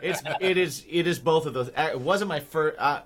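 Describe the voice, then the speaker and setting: A man's voice: a little high, moderate pace, background noise. A man who grew up in the American Midwest, co-hosting a podcast in laid-back, casual chat full of fillers. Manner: laid-back, many fillers, laughs easily. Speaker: podcast co-host.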